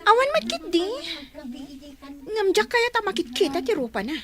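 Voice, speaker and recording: A woman's voice: very high-pitched, very animated, normal speed. An actress with an Ilocano accent voicing a spoiled, rude teenage schoolgirl who snaps at people. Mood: whiny complaint. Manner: sulky, haughty, snapping.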